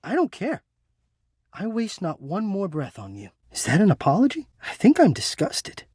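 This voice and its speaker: A man's voice: sits high, huge pitch swings, moderate pace, darkish tone, husky, no room sound, clean studio sound, heavily acted. An actor voicing a sentient home ventilation system in a video game, an eloquent, dramatic male romantic with florid, formal delivery. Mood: weary, disgusted. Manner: florid, theatrical, formal wording.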